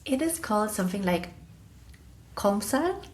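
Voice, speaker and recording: A woman with a German accent talks loosely about sacred history, circling back and correcting herself mid-thought. She often laughs at her own stumbles, in an easy, unscripted solo chat at a middle pitch. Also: very animated, a little slow. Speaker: podcast host. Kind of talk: casual solo talk on spiritual history.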